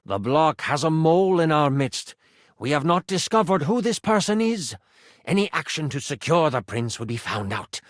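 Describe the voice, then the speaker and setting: Animated, playful male game master. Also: a little high, dry recording, studio quality. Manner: dramatic storytelling, expressive, playful. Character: living D20 die as eager, playful game master. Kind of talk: theatrical tabletop game narration with playful asides.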